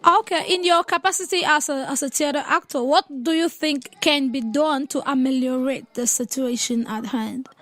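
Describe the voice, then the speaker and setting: A woman hosting a casual radio interview, feeling her way through her phrases in a halting manner. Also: high voice, fairly bright tone, dry recording. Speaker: radio show host.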